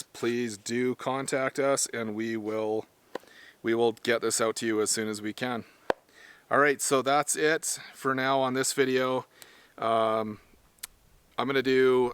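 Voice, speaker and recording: A man with a hoarse voice from smoke, talking casually to camera about gear he sells, rambling and correcting himself as he goes. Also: medium pitch, fairly bright tone, no echo. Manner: rambling, self-correcting, plain-spoken. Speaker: firearms gear seller and trainer. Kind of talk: casual product talk to camera.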